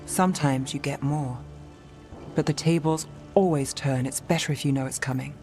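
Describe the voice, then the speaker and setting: A woman's voice: low voice, normal speed, mellow, lightly acted. A woman playing a guarded, world-weary city investigator in a video game, speaking in a dry, understated way. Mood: world-weary, wry. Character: wry, guarded female city private investigator. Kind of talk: dramatic video game dialogue. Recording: music behind the dialogue. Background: game music.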